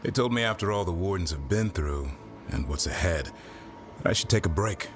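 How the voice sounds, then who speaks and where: An actor playing a laconic monster hunter in a video game, speaking in a terse, dryly amiable way. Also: male voice, sits low, expressive, normal speed, faint music behind. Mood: dry, easygoing. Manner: terse, understated, dry.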